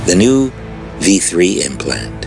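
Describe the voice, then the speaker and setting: A man reading a scripted promo voice-over in a slow, dramatic announcer delivery. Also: low-pitched, very animated. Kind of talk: scripted ad narration. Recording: voice over loud music.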